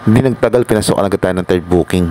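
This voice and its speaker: A man's voice: low-pitched, racing, over loud music. A Filipino man narrating a motovlog in voice-over. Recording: clearly noisy background under narration.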